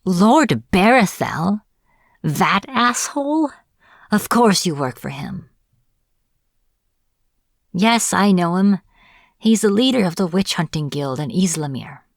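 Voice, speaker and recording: Slow, low-voiced sardonic witch acted by a woman. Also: big pitch swings, gravelly, no echo, studio quality, exaggerated voice. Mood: irritated, scornful. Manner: sarcastic, exasperated, informal. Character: captured sardonic witch, irritable, bargaining and defiant. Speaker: audio roleplay voice actor. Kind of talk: dramatic fantasy audio roleplay, addressed to the listener.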